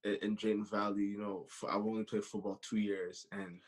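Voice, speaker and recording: A man's voice: on the low side, little pitch movement, fairly quick. A man in his twenties from Canada, a podcast guest chatting casually, often repeating his phrases. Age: 20s. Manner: repeats phrases, peppers talk with "you know". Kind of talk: casual interview chat.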